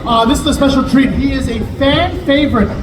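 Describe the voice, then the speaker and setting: A man's voice: very high-pitched, fairly quick, far from the mic. A man hosting a comedy show, hyping the crowd as he brings on the next comedian. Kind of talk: introducing comedians. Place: comedy club stage. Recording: roomy live audio from afar, crowd noise.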